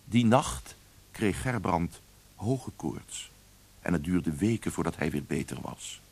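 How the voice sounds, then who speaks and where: A man's voice: sits low, lively intonation, slow, vocal fry, a little mellow, breathy edge. A man narrating a scripted radio play as a storyteller.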